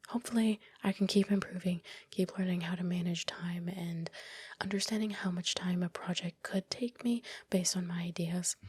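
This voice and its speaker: A woman narrates in a soft, fully breathy ASMR whisper, recorded close and very dry. Her delivery stays flat and even. She rambles casually through her own process, often critical of her own efforts. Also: on the low side, dry recording. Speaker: ASMR video creator narrating own work. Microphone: close microphone, dry voice-over.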